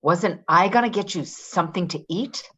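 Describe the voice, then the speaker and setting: A woman's voice: on the low side, normal speed, a bit bright, breathy edge. A woman acting a tough, wheedling, manipulative caregiver in a play reading, pushy and slangy. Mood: menacing.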